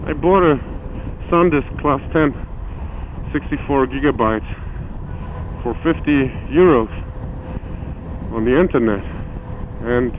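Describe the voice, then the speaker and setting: German-accented man vlogging from a motorcycle. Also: mid-range voice. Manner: rambling, self-deprecating, talks to himself. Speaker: motovlogger. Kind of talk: live motovlog chat while riding. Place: outdoors on a motorcycle in winter. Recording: phone-like audio with strong wind and engine noise. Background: wind and vehicle noise.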